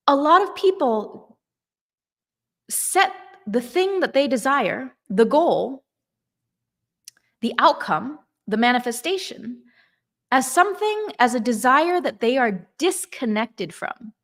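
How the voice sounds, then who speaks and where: Woman coach talking slow and emphatic, kinda high voice, motivational vibe. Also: very animated, a bit bright. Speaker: manifestation coach. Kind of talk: motivational talk.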